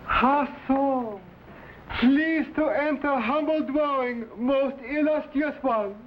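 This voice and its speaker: Sly, obsequious innkeeper, stage Chinese accent, unhurried, thin vintage broadcast sound. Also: male voice, very high voice. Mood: fawning welcome. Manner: obsequious, stilted broken English. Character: fawning, sly Chinese innkeeper, exaggeratedly humble poisoner. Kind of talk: 1950s television adventure serial acting. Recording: thin phone-like old broadcast, clearly noisy.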